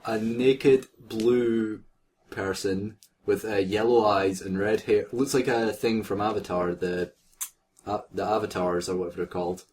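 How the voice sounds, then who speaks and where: A man's voice: on the low side, steady tone, unhurried, a little mellow. A man co-hosting a comic read-aloud of fan fiction, joking in asides as he reads.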